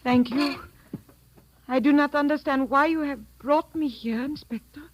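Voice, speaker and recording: A woman's voice: high voice, normal speed, dry recording, highs cut off, close to an everyday voice. An actress playing an indignant young Balkan woman, in old-time radio drama. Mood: indignant, guarded.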